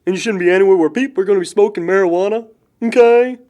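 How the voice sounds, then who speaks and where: A man's voice: high voice, rapid-fire, darkish tone, natural voice. An actor playing a goofy, carefree young man who playfully needles his best friend in a film drama. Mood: needling. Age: young adult. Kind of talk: naturalistic amateur film dialogue.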